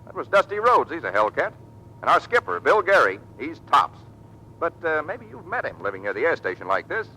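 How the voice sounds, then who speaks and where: Young male rookie aviator, earnest and deferential, animated delivery, old radio serial. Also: on the high side, a little fast. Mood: excited, bashful. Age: young adult. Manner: polite, deferential, boyish. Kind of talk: 1940s radio acting. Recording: faintly noisy vintage radio recording.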